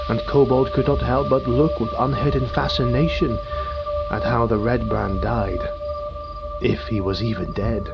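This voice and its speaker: A man narrating a dark fantasy audiobook in a slow, hushed, grave manner. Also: mid-range voice, creaky. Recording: muffled with faint music.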